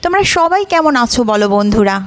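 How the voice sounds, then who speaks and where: A woman's voice: high-pitched, sing-song, fast talker. A young woman hosting a chatty vlog, cheerfully asking her viewers questions. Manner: cheerful, addresses viewers as friends with many questions. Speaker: vlog host.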